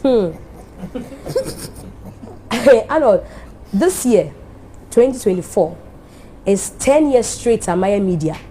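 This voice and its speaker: A Ghanaian woman speaks with feeling as she looks back and thanks the people around her. Her tone is mellow and emphatic, and there is a faint hiss behind her voice. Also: medium pitch, animated, slow pace. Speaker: TV show host giving an anniversary speech. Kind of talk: emotional speech mixing English and Twi.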